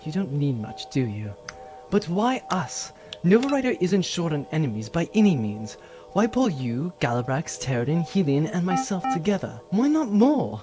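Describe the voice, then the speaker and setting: A woman's voice: deep voice, very animated, moderate pace, over music. A woman playing a proud, curt, cynical rogue space captain in a sci-fi audio drama. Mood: suspicious. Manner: curt, disdainful.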